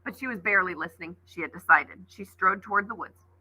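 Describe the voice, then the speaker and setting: A woman's voice: lowish voice, fast talker, pushed and bright, husky, no room sound, rough recording. A woman teacher reading a novel aloud expressively, adding brief asides.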